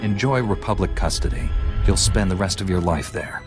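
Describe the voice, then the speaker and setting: A man plays a principled knightly hero in a sci-fi game. His voice is deep, his delivery firm, formal and measured, set over clear background music. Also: lightly acted. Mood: resolute. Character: principled, resolute male Jedi knight hero. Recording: music behind the voice.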